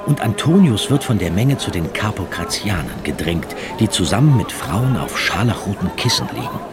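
A man narrates a scripted radio play. His lowish voice moves expressively, set over loud music and a busy crowd. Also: very animated, normal speed.

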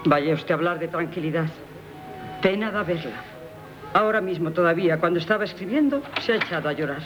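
An actress playing a blunt, complaining nursemaid with a Castilian Spanish accent in a 1970s radio drama. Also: female voice, fairly low pitch, animated, fairly quick, lightly acted. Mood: grumbling. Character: plain-spoken, grumbling female nursemaid servant. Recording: dull vintage radio recording, music behind.

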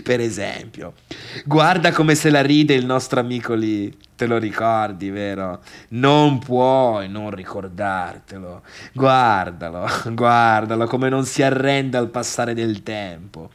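A man's voice: mid-range voice, slow pace, natural voice. A man playing a brash, foul-mouthed friend in a stage monologue, insistent and taunting, stretching phrases for emphasis. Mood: mocking, goading.

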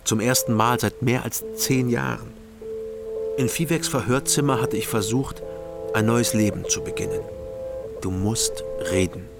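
An actor playing a guarded, melancholy private detective, narrating in a measured, confessional way in a radio drama. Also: male voice, mid-range voice, vocal fry, over loud music. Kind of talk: radio drama first-person narration and dialogue.

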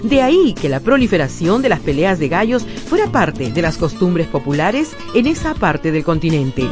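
A woman's voice: on the low side, very animated, moderate pace. A woman reading scripted documentary narration as a radio narrator. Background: guitar music.

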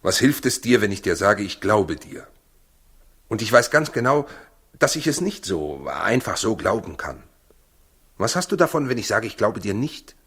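An actor in a 1960s German radio play as a proud working husband torn by doubt, husky, weary and defensive. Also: male voice, fairly low pitch, sing-song, moderate pace, a bit bright, heavily acted. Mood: troubled, agitated.

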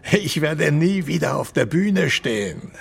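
Old man in his eighties, fallen opera star, gravelly fry, slow delivery, bitter, theatrical, radio play. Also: a little high, lively intonation, exaggerated voice. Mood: bitter despair. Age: older. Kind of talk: radio drama dialogue.

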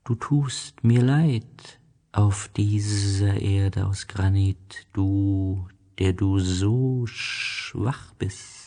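A man voicing a mysterious, powerful desert snake in a storybook, with slow, drawn-out, solemn delivery. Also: sits low, warm tone, exaggerated voice. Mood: solemn, ominous.

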